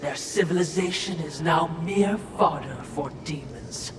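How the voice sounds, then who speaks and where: Sly, slow-talking spirit servant, distant-sounding. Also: female voice, deep voice, background noise. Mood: musing, ominous. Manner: sardonic, insinuating, mock-deferential. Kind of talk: video game cutscene dialogue.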